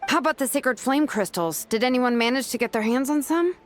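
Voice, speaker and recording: A woman voicing a relaxed, easygoing adult blacksmith in a video game. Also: sits high, fairly quick, a little hiss or hum, natural voice.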